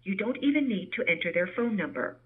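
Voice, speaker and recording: A woman reading scripted voicemail help prompts as an automated system announcer, in a measured, helpful, soothing way. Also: mid-range voice, animated, breathy edge. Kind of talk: scripted instructional phone prompts. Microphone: telephone voicemail playback over VoIP line. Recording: tinny, narrow-band phone line.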